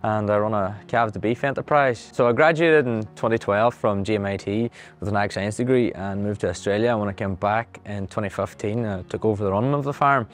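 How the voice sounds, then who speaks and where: A man's voice: lowish voice, brisk, hardly any pauses. Earnest Donegal Irish farmer man. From Ireland. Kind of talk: interview monologue.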